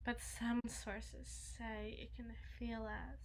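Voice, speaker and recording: A woman's voice: on the high side, flat delivery, takes it slowly, heavy background noise. A woman playing a flustered, needy, socially awkward young vampire in an intimate ASMR roleplay, stammering and slipping into whispers. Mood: musing, coaxing. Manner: stammering, breathy asides, dropping into whispers.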